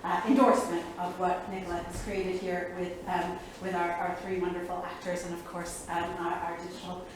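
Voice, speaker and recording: A woman's voice: fairly low pitch, completely flat delivery, moderate pace, hardly any pauses. A woman chairing a panel event, speaking informally and effusively, often hesitating and interrupting herself. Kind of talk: informal spoken thanks and event announcements. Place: indoor event room. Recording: very echoey room with faint noise.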